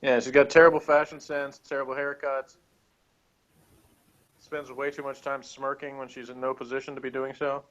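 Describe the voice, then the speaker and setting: A man's voice: medium pitch, flat delivery, moderate pace, highs cut off. A man co-hosting a casual TV episode review, sarcastic and mock-exasperated in his manner.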